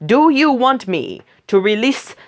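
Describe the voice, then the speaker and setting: A woman's voice: a little high, big pitch swings, unhurried, voice pushed up. A woman voicing an uneasy male Roman governor in a read-aloud scripture story, raised and questioning.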